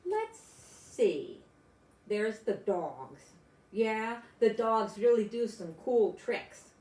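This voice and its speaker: A woman's voice: on the high side, big pitch swings, extremely slow, darkish tone, roomy sound. An older woman, a grandmother, reading a children's storybook aloud expressively and adding chatty exclamations for young listeners. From United States.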